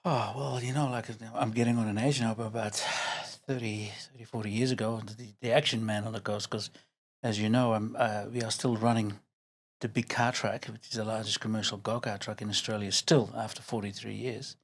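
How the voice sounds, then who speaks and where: Belgian-accented older man, chatty and animated. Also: fairly low pitch, a little fast. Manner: chatty, repeats words as he searches, laughs easily. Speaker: podcast guest. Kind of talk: casual interview chat.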